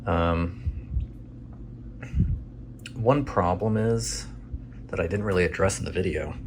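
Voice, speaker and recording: Low-voiced man with clear background noise. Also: slow. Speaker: video host and radio restorer. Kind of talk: casual hands-on vlog narration. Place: tiny home workshop.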